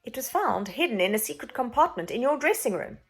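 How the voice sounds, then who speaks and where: A woman's voice: fairly high pitch, big pitch swings, fairly quick, fairly bright tone, husky, voice pushed up, full character voice. Woman playing a sanctimonious scheming royal adviser. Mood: accusing. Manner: formal, pointed, sanctimonious. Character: smooth, insinuating male Lord Protector, scheming royal adviser. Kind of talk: children's storybook villain voice.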